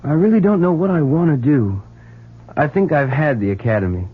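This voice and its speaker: An actor playing an evasive young student son in an old-time radio drama, casual and slangy in manner. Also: male voice, fairly high pitch, expressive, a little fast, slightly rough, phone-quality sound. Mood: evasive, troubled. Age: young adult. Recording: thin, band-limited old radio recording.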